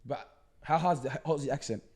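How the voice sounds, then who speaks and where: A young man with an Australian accent, hosting a casual podcast chat, quick and animated, often cutting in over others. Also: mid-range voice, slightly rough. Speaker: podcast host.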